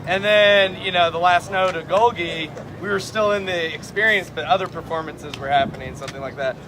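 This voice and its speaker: Male questioner, fairly high voice, inquisitive and informal with frequent tag questions, noticeable outdoor rumble behind. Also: a little fast. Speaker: audience questioner. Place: outdoor campground at an amphitheater. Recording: noisy outdoor event, engine-like background rumble.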